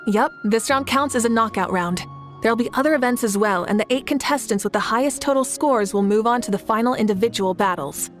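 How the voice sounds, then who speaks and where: A woman's voice: fairly high pitch, fairly quick, faint music behind, natural voice. Confident, spirited warrior woman, played by an actress. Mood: assured, explanatory. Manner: assured, spirited, easygoing. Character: confident, good-humored adult woman warrior champion. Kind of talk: video game character voice acting.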